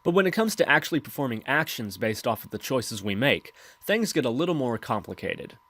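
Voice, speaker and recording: A man's voice: medium pitch, big pitch swings, a little fast, fairly bright tone, no echo. Man narrating a tutorial, close and dry. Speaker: tutorial host. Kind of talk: scripted software tutorial narration.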